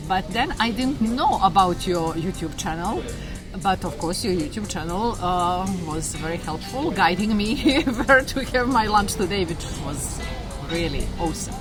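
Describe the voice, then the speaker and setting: A woman from Serbia chatting in an interview, pausing often with fillers and restarts. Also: mid-range voice, expressive, music in the background. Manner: hesitant with many fillers and restarts. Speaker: viewer interviewed while traveling. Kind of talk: casual interview chat.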